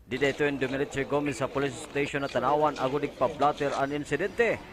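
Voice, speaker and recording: A man's voice: medium pitch, fast. A man from the reading scripted television news narration as a reporter.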